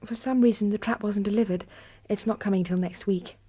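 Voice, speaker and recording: Woman performing young British Victorian lady, radio drama, mellow tone, airy breathy voice, prim, earnest, spirited. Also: mid-range voice, steady tone, brisk, close to an everyday voice. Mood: polite, composed. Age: young adult.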